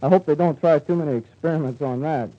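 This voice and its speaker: A teenage American student reporter reading scripted news stiffly on an old lo-fi 1940s radio broadcast. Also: male voice, a little high, fast. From United States. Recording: dull lo-fi 1940s radio recording.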